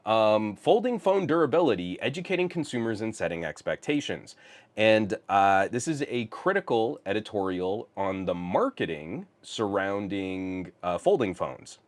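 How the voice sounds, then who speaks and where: A man's voice: lowish voice, moderate pace. A man hosting a tech news podcast live, chatting casually in a rambling way and reading out the stream chat. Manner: animated, rambling, restarts, reads chat aloud.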